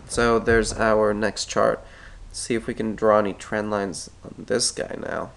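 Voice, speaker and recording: A man's voice: on the low side, level tone, measured pace, gravelly. A man with a stuffy head cold walks through a stock chart tutorial, thinking aloud and often correcting himself as he draws. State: stuffy with a cold, clearing his throat. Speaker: stock market analyst. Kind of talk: screen-recorded chart tutorial.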